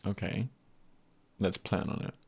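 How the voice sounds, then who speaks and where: A man playing an easygoing, comforting male friend in an intimate ASMR roleplay, husky and gentle, with unhurried pauses and light teasing. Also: natural voice. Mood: relaxed, lightly joking. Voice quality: husky, breathy, gravelly low voice.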